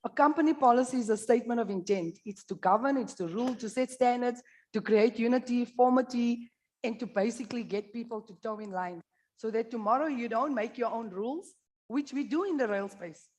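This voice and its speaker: A woman's voice: a little high, fairly quick, darkish tone, poor audio. Blunt South African woman presenting. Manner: blunt, humorous, addresses the audience directly. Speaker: conference speaker. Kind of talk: candid conference presentation. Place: conference room.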